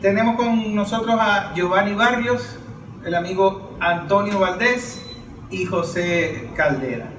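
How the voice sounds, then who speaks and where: A man's voice: high voice, measured pace, a bit bright. A Venezuelan man hosting and introducing a political round-table discussion. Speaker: host and moderator. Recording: roomy, noisy, distant microphone.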